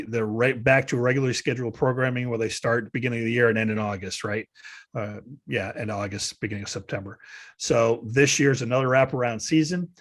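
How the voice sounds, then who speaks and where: A man hosting a casual golf podcast, patiently explaining the rules of a picking game and handing off to his co-host. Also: medium pitch. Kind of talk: casual sports chat.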